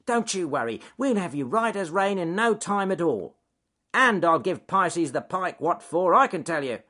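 A man voicing a bad-tempered, bossy but soft-hearted beaver with a snappy, commanding manner in a children's story. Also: sits high, sing-song, normal speed, pitched above the performer's own voice, heavily acted. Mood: gentle.

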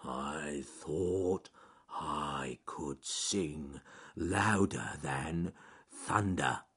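A man's voice: fairly low pitch, sing-song, very slow, a bit bright, full character voice. A man voicing a large, gloomy, proud male bear in a storybook, with a growly voice and a ponderous, grumbling manner. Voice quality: growly, husky.

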